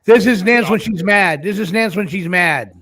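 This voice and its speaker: A man hosting a live stream, chatting loosely and loudly, coaxing his guests and repeating himself. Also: sits high, moderate pace, crowd noise. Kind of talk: casual live stream chat.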